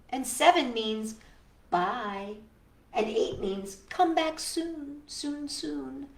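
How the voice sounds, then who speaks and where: High-voiced woman hosting children's storytime. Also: reverberant. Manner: animated, encouraging, addressing children and a companion directly. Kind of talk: read-aloud and sing-along for young children.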